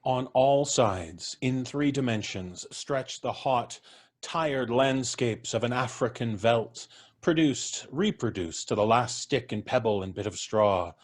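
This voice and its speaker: A man narrating a classic tale in a measured, vivid way for a live audience show. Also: mid-range voice. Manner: measured, vivid, lingering on sensory details. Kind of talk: dramatic live story narration. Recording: live stage recording with faint music.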